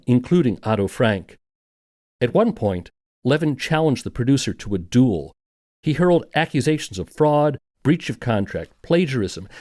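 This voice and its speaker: A man's voice: medium pitch, warm tone. A man narrating a scripted documentary podcast in a conversational, wry manner.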